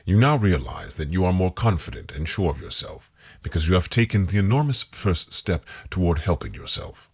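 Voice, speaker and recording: A man guiding a hypnotic meditation, reading scripted suggestions in a calming, deliberate manner. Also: low-pitched, breathy edge. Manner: slow-phrased, calming, deliberate, repetitive suggestion. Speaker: hypnosis guide.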